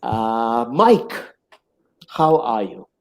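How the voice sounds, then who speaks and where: A man in his sixties hosting a conversation show, speaking in an earnest, measured way from his home office. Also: medium pitch, very animated. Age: 60s. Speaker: host. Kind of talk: hosted conversation opening monologue.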